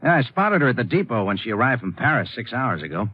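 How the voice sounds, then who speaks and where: An actor playing an eager, resourceful undercover agent with a casual, brisk manner in 1950s radio style. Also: male voice, medium pitch, very animated, slightly rough, dry recording. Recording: dull old radio recording.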